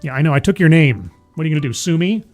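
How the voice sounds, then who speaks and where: A man's voice: on the high side, animated, rapid-fire, soft background music. A man in his forties hosting a livestream, chatting casually with viewers and laughing at himself. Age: 40s. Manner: rambling, self-deprecating, laughs often. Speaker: livestream host. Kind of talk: casual chat with live chat.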